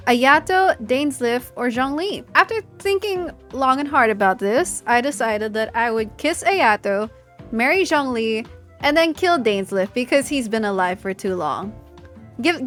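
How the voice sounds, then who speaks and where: Young filipina gamer girl, chatty and upbeat, kinda high voice, soft music behind. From Philippines. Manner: chatty, enthusiastic, reading questions aloud. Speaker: gaming YouTuber. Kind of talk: casual Q&A voice-over.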